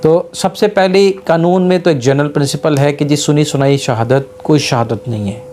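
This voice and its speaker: A man's voice: a little high, moderate pace, mellow, slight background noise. A man with a Pakistani accent teaching law in an informal lecture, explaining step by step and rephrasing key points. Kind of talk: informal law lecture mixing Urdu with English legal terms.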